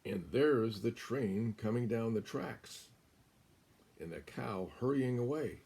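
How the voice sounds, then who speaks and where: An American man in his seventies reading a children's picture book aloud as a guest storyteller, in a deliberate, emphatic way. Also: medium pitch, vocal fry, mellow, slightly rough. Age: 70s. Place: small room indoors.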